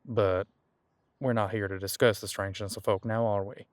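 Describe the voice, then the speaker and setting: An easygoing ancient demon detective played by a man talks in a low voice, close and dry. He muses in a folksy, laid-back way, as if chatting with a companion in an audio roleplay. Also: steady tone, normal speed, no echo, natural voice. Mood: relaxed, musing. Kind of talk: conversational one-sided audio roleplay.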